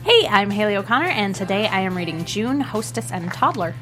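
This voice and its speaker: A woman's voice: mid-range voice, lively intonation, brisk, music in the background. A woman actor speaking and reading lines at a table read. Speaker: actor reading a script aloud.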